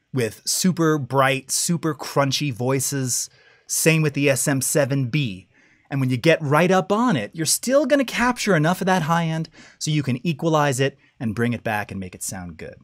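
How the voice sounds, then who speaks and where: Man with a low voice teaching live. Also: expressive, moderate pace. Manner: casual, checks in often with "all right?" and "okay?". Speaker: audio masterclass host. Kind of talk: live-stream tutorial talk. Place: home studio with dim blue lighting. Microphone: studio mic close up.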